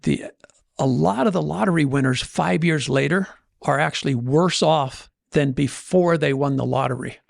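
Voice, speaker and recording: A man's voice: fairly high pitch, moderate pace, gravelly, dry recording, studio quality. A man speaking conversationally as a podcast host and financial coach, motivational in style, often voicing imagined inner dialogue to make his points. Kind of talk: conversational motivational money talk.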